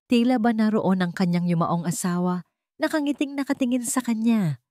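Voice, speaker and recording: A woman reading a sentimental love story as a scripted narration, in a measured, earnest storytelling manner. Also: on the high side, warm tone, no room sound, clean studio sound.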